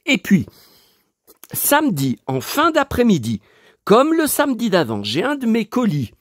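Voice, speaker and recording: A man's voice: high voice, huge pitch swings, measured pace, no echo, clean studio sound. A man in his fifties, stuffy from a lingering cold, rambling to his viewers with lots of hesitations and restarts. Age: 50s. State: dry mouth. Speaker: collector vlogger. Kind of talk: rambling casual monologue to viewers.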